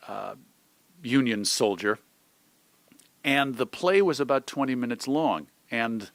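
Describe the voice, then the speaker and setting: A man's voice: lowish voice, a bit bright, no room sound. Middle-aged American man, close dry sound. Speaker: radio host being interviewed. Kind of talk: conversational interview, storytelling.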